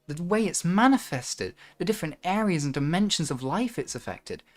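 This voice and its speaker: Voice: mid-range voice, lively intonation, moderate pace. A livestream host chatting casually and musing aloud in an earnest, rambling, reflective way. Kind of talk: casual chat and reflective monologue to a livestream audience. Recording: music behind the voice. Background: music.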